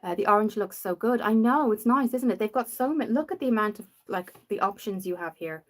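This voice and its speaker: A young woman from Dublin with a softened Irish accent, rambling casually while hosting a makeup livestream and answering viewers. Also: mid-range voice, expressive, fairly quick. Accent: Dublin Irish, softened after years in the UK. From Ireland. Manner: chatty and rambling, reads out viewer comments.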